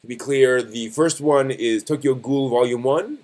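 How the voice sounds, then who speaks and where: A man with a mid-pitched voice hosts a live stream news segment. He talks casually and conversationally, as if straight to viewers. His delivery is a little halting, and he often backs up to correct his wording. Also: darkish tone.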